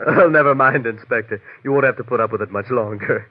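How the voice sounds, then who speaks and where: An actor playing a folksy, excitable, earnest country man in an old-time radio drama. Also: mid-range voice, brisk, lightly acted. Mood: curious, amazed. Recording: muffled vintage radio recording.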